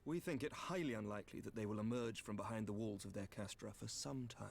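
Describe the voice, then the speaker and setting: An actor playing a courteous, grave knight commander in a fantasy game, speaking in formal, measured, archaic phrases with a slightly creaky voice. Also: male voice, fairly low pitch, a little mellow, slight background noise. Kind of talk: scripted fantasy game dialogue.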